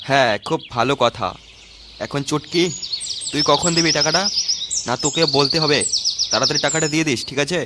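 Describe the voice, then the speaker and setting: A man's voice: fairly high pitch, a little fast, pauses often, heavy background noise, close to an everyday voice. A man voicing a bossy, impatient older uncle who gives orders in a comic cartoon. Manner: commanding, impatient. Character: bossy older uncle organizing the village picnic.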